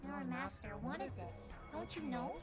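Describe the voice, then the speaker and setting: Woman as a sly, high-voiced little nightmare spirit. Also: lively intonation, unhurried. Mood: sly, insinuating. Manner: mocking, insinuating. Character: small taunting nightmare spirit, sly and malicious. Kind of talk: animated game fandub villain acting. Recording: loud music under the voice.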